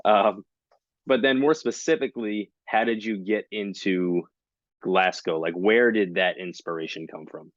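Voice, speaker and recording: Deep-voiced male interviewer, dry sound. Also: unhurried, no room sound, muffled recording. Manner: encouraging, affirming. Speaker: interview host. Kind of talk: casual interview chat.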